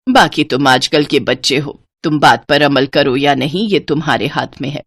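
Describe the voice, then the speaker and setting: A woman's voice: low-pitched, normal speed, husky, pitched below the performer's own voice. A woman voicing a patient but scolding older mother-in-law who lectures about waste.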